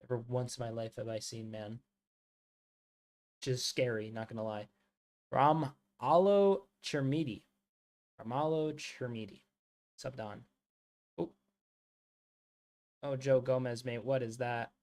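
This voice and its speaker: A man's voice: medium pitch, steady tone, unhurried, pauses often, darkish tone. A man from the States, hosting a live football watchalong and chatting loosely with many fillers. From United States. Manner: casual, rambling, many "like" fillers, calls viewers mate and bro. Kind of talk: live match watchalong commentary and chat.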